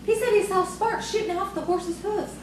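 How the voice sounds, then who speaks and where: A woman's voice: very high-pitched, moderate pace. A woman playing a coy, proud young farmer's daughter in audio theatre, with an earnest storytelling manner. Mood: earnest, suspenseful. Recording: distant, very echoey, noisy stage recording.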